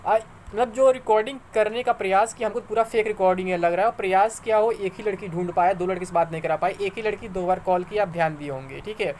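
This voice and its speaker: A man's voice: high voice, quick pace, hardly any pauses, darkish tone, slight background noise. A man giving informal explanatory commentary to viewers, conversational and argumentative, repeating points for emphasis. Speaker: exam news YouTuber.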